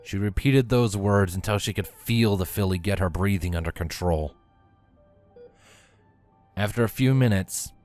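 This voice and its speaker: A man's voice: on the low side, a little mellow. A man reading a scripted story as its narrator. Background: music.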